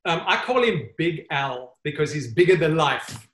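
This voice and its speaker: Man, slightly high voice, earnest storyteller, roomy call audio. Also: sing-song, a bit bright, slight background noise. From South Africa. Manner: earnest, halting with many fillers. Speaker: guest. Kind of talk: storytelling in an online interview. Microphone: video call.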